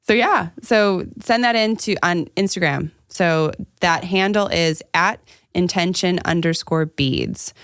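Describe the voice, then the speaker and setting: Woman podcast co-host, smooth crisp tone, casual and jokey delivery, dry close sound. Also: on the low side, measured pace, no room sound. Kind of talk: casual chat.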